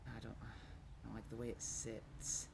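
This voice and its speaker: A man's voice: fairly low pitch, completely flat delivery, measured pace, creaky, very noisy. Scottish man rambling through a game stream. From Scotland. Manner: thinks aloud, rambling with many ums. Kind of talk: casual gameplay livestream commentary.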